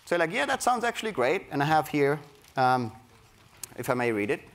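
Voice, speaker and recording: A man's voice: on the high side, big pitch swings, moderate pace. A man from Germany with a German accent, speaking to a live audience and coaching them through a creativity exercise. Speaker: workshop speaker. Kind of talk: interactive keynote talk.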